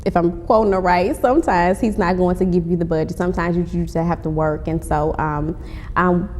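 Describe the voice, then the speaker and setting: Female interview guest, lowish voice, emphatic repetition, long reverb. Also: fairly even intonation, fairly quick, darkish tone. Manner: repeats phrases for emphasis. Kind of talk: conversational interview. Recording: reverberant hall, faint rumbling noise.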